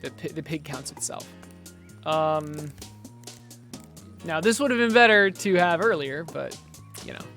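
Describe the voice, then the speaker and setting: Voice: medium pitch, unhurried, long pauses, a bit bright, soft background music. A game developer giving casual gameplay commentary, explaining things step by step and correcting themselves along the way. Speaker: game developer demoing own game.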